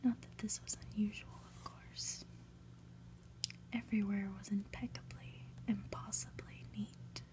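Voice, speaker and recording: A woman softly whispering a story aloud in an ASMR style, deliberate and lingering on phrases with pauses. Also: mid-range voice, flat delivery. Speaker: ASMR reader, channel host.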